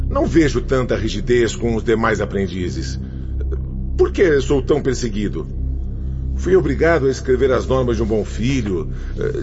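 A Brazilian actor playing a defensive, aggrieved pupil in a radio novel, stammering and pleading with indignation. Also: male voice, mid-range voice, close to an everyday voice. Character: defensive, aggrieved male pupil spirit protesting innocence. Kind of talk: dramatised radio novel acting. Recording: dull lo-fi, music underneath.

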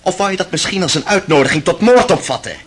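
A man playing a bitter, tormented intellectual gentleman in a radio play, with a biting, commanding and sardonic manner. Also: sits high, expressive, fast talker, breathy edge. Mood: sardonic, weary. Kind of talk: Dutch radio play acting.

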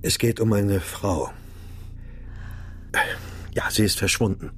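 An actor playing a troubled, subdued husband in a radio crime drama, speaking in a hesitant, reserved, brooding way. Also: male voice, fairly low pitch, slow pace. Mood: worried, hesitant. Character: troubled, subdued husband searching for his missing wife. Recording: clear background noise.

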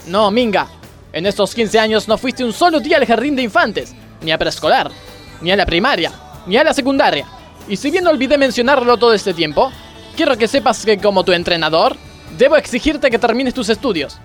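A man's voice: high voice, animated, fairly quick, faint music behind. A man acting a pompous, moralizing gymnastics coach who makes grandiose speeches in a parody radio play. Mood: preachy. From Argentina. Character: pompous, moralizing male gymnastics coach, scolding yet easily distracted.

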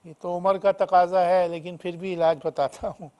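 Brisk high-voiced male hakeem host. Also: flat delivery, a little mellow, dull audio. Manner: brisk, asks quick routine questions. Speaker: hakeem host answering callers. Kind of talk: call-in health advice show.

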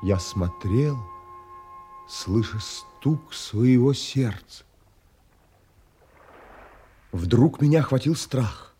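Romantic seafarer, medium pitch, very slow and reverent, dramatic pauses, audio drama narration, prominent music. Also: male voice, expressive, warm tone, husky, full character voice. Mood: awed, tender. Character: awestruck, romantic seafaring man recalling his story.

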